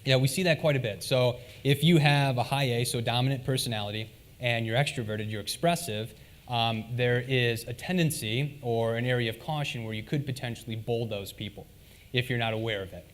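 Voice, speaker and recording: Guy presenting at a conference, middle pitch, laid back, lots of ums. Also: brisk. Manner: relaxed and conversational, frequent "um"s. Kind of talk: conference talk with audience Q&A. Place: conference hall stage.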